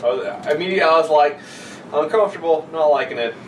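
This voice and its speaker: A man in casual, crude banter with friends, joking in a deadpan, profane way. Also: a little high, a little fast, far from the mic. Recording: roomy, off-mic, noisy background.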